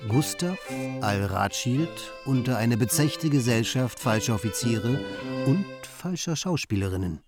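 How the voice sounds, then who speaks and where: An actor playing a sardonic, brooding commentator, reciting literary radio drama lines in a declamatory manner. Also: male voice, mid-range voice, animated, a little slow. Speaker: second narrator. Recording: prominent music behind the voice.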